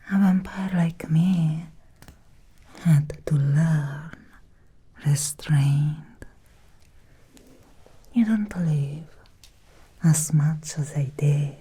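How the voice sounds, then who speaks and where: A woman playing an obsessed, seductive Victorian vampire in an ASMR roleplay, whispering softly and slowly in an intimate, breathy voice. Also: deep voice, full character voice. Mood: restrained, hungry. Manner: slow, intimate, deliberate with long pauses. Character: obsessed, seductive Victorian female vampire, hungry yet restrained.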